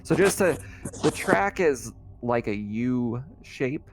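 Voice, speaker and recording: A man's voice: medium pitch, expressive, slow, heavy background noise. A man acting as game master, explaining the scene and rules in casual chat and laughing easily. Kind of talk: casual tabletop roleplaying narration and chat.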